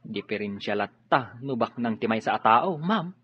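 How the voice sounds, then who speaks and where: A man playing a deferential, chatty, humble household driver in a radio drama, with a husky voice. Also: lowish voice, animated, moderate pace, highs cut off, slight background noise, close to an everyday voice. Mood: humble, wistful. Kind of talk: Filipino radio drama acting.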